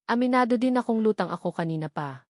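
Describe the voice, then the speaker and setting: A woman's voice: on the high side, lively intonation, quick pace, no room sound, studio quality. A woman with a Filipino accent reading a dramatic first-person novel as an audiobook narrator.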